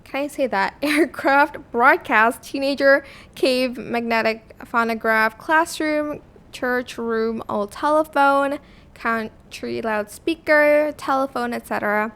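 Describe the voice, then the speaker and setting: A woman with a high, youthful-sounding voice hosting a casual software tutorial in a chatty, informal way, thinking aloud as she goes. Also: takes it slowly, clean tone. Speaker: tutorial host.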